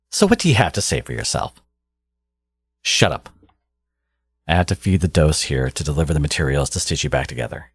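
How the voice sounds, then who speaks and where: Man playing a deep, husky theatrical supervillain. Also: no room sound, full character voice. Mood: exasperated, scornful, then brisk, muttering. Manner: grandiose, exasperated, dramatic emphatic delivery. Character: theatrical male supervillain, possessive, scornful archnemesis tending his rival. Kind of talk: scripted audio roleplay monologue.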